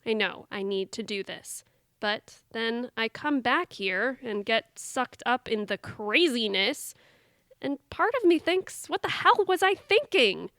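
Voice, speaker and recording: A woman plays an anxious younger sister in an audio drama, full of self-doubt. Her voice is bright and fairly high, and she takes her time over the lines. The sound is close and dry. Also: lively intonation, unhurried, no room sound. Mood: nervous, self-doubting.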